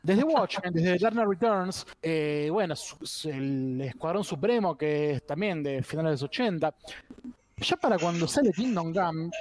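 A man's voice: fairly high pitch, lively intonation, a little slow, a little mellow. A man gives his take on a podcast panel. He is opinionated and builds long, wandering arguments in an informal register. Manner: opinionated, digressive. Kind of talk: casual critical commentary.

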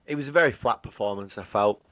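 A man's voice: fairly low pitch, fast talker, bright, forceful tone, no room sound. A man co-hosting a casual football podcast over a remote call, hesitant and repeating words as he thinks. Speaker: podcast co-host. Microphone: remote call recording.

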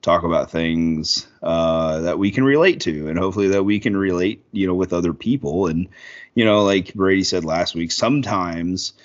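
Thirties man, low voice, loose profane podcast co-host, muffled lo-fi audio. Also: normal speed. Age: 30s. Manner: rambling, profane, lots of fillers. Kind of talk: casual podcast chat.

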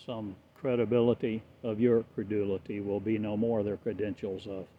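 A man delivers a slow, incantatory prophetic monologue, rising loud and sinking quiet again. The sound is thin and phone-like, with a faint hiss behind it. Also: on the low side. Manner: incantatory, repetitive, swelling and fading in loudness. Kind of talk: prophetic declamation. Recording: tinny phone-like audio with faint noise.

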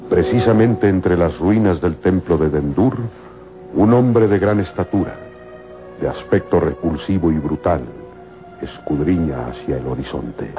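A man's voice: low-pitched, measured pace. A man narrating a scripted adventure serial in a dramatic, old-time radio style. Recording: muffled old radio recording. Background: music.

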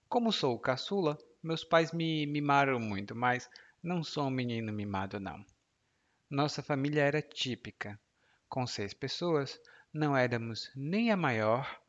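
A man, in his thirties, explains clearly and slowly like a patient teacher and laughs easily. His voice sits at a medium pitch. The recording sounds somewhat dull and muffled. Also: animated. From Ceará, Brazil. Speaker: Portuguese teacher. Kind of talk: podcast language lesson.

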